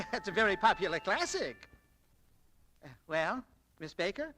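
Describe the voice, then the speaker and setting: An actor playing an excitable, stubborn older shop owner, blustering and stammering in 1940s radio style. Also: male voice, high-pitched, unhurried, over music. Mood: eager. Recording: noisy old 1940s radio recording.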